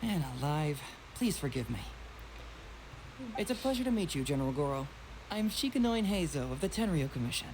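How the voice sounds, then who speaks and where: A man voicing a young, confident, shrewd detective in a video game, with a casual, smooth, teasing manner. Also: on the high side, big pitch swings, a little fast, a little mellow, a little hiss or hum, rough recording. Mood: polite, breezy. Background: game music.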